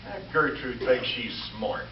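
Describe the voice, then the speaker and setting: Man doing a flustered vain radio comic, slow n stammery, far from mic, noisy. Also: medium pitch, big pitch swings. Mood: flustered, fussy. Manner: hesitant, stammering delivery. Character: vain, fussy, flustered male radio comedian. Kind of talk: 1940s radio comedy acting. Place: live stage show before an audience. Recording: echoey stage recording, distant, noisy, dull.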